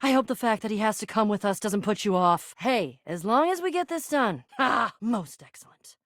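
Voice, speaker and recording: A woman's voice: medium pitch, lively intonation, moderate pace, dry recording, studio quality, lightly acted. A woman playing a plucky, eager cardboard box adventurer and noble thief in a video game, theatrical and grandiose with giddy slang. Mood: excited, fond. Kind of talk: animated game character dialogue.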